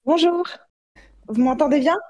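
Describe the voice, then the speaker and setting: A woman's voice: high voice, measured pace, music in the background. A woman, answering casually as a podcast guest. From born in Russia, grew up in Paris, France. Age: 30s. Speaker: podcast guest, self-published author.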